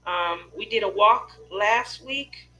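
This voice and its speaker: A woman's voice: medium pitch, takes it slowly, pushed and bright, highs cut off, soft background music. A middle-aged woman chatting casually with live viewers as host of a craft stream, telling personal stories in a rambling way.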